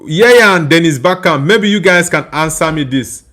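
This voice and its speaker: A man's voice: fairly high pitch, animated, moderate pace, bright, forceful tone. A young man, hosting a live football watchalong, chatting casually and laughing while he reads viewer comments. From Nigeria. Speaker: watchalong streamer. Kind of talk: casual live match reaction and chat reading.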